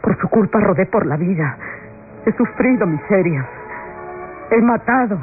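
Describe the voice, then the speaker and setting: A woman's voice: medium pitch, animated, a little slow, faint music behind, natural voice. An actress playing a long-suffering, self-sacrificing mother on trial in an old radionovela, solemn and melodramatic. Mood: anguished, resolute. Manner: solemn, melodramatic, declaiming in short phrases. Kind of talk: old-fashioned Latin American radionovela acting. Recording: dull lo-fi old radio recording.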